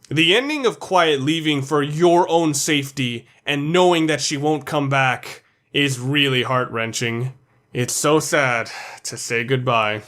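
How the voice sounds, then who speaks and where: A man's voice: a little high, a bit bright. A man delivering scripted, opinionated video commentary as a countdown reviewer, blunt and sprinkled with swearing.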